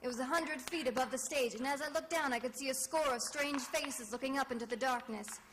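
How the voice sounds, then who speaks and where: An actress playing a brisk, plucky woman detective in an old-time radio mystery, with crisp, dramatic delivery. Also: high-pitched, background noise, lightly acted. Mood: urgent, suspenseful.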